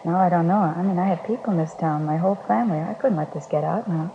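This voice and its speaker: A woman's voice: on the low side, fast talker, close to an everyday voice. An actress playing a distressed, lovelorn young woman in 1950s radio drama, speaking hesitantly and fretfully. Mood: anxious, torn. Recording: dull, noisy vintage radio broadcast.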